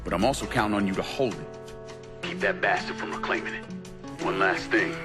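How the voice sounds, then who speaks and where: A man plays a battle-hardened crime boss in a game cutscene. His voice is on the low side, authoritative and blunt, giving orders with rough language. Music runs behind him. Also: brisk, lightly acted. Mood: firm, strategic. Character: commanding, hardened male war veteran turned crime boss.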